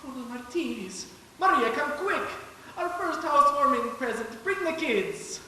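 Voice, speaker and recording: Exuberant Italian immigrant, echoey hall. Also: male voice, very high-pitched, animated, unhurried, sharp tone, pitched above the performer's own voice, full character voice. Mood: proud, joyful. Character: proud, exuberant Italian immigrant man, new homeowner. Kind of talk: live stage radio play acting. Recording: echoey hall, distant, faint noise.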